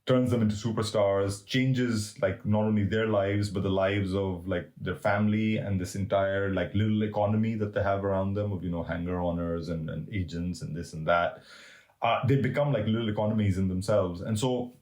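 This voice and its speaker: Low-voiced man musing in an echoey room. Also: steady tone, normal speed, darkish tone. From Pakistan. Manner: rambling, many restarts and "you know" fillers. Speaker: cricket writer and historian guest. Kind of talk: reflective conversational interview.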